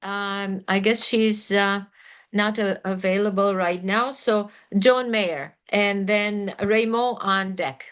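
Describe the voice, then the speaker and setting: A woman moderates an online Q&A and politely calls on the next speakers. She speaks slowly, a little hesitant, in a smooth, clear voice. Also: mid-range voice, fairly even intonation, a bit bright.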